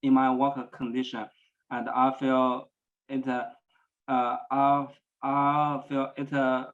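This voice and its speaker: Man stuttering thru a talk, slow and flat, kinda dull lo-fi audio. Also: medium pitch. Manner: stuttering with many repetitions and pauses. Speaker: presenter at a speech celebration. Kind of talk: personal talk about stuttering. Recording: dull lo-fi sound.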